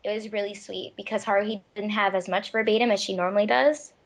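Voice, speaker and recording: A woman's voice: medium pitch, steady tone, fast. A young woman chatting casually in a gushing way, peppering her talk with "like". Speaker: amateur voice actor. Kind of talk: casual chat.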